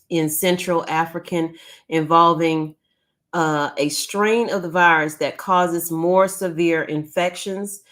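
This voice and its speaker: A woman hosting a casual live news stream from home, chatty with frequent ums, who is losing her voice. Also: deep voice. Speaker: YouTube news channel host.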